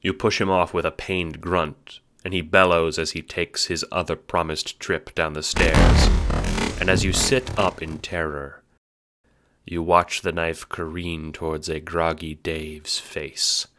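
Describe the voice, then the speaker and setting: A man's voice: deep voice, level tone, unhurried, fairly bright tone, faint music behind. A man giving dramatic, scripted storytelling narration for an audio drama.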